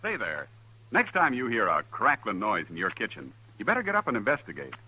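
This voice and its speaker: Very bright, punchy American radio announcer man. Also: lowish voice, wildly swooping pitch, fairly quick, breathy edge, low-quality recording. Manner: emphatic, punchy salesmanship. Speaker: radio announcer and sponsor pitchman. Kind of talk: 1950s radio announcing, scripted.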